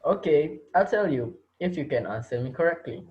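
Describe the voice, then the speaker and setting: A man plays a menacing schoolteacher turned captor in a reader's theater drama. His voice sits fairly high for a man, and he toys with his victims in a mocking, taunting way. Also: expressive, moderate pace, lo-fi sound, natural voice. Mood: teasing, controlled. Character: menacing male teacher holding his class hostage, mocking, taunting. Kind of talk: amateur reader's theater acting.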